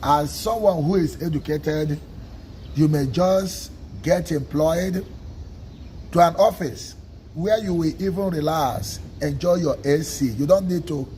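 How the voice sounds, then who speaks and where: A man from Nigeria with a Nigerian accent, an Igbo speaker, giving a measured teaching talk on a livestream and often checking in with "okay?". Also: on the high side, mellow, a little hiss or hum, rough recording. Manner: measured, stresses single words, asks listeners "okay?". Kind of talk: slow, deliberate teaching talk.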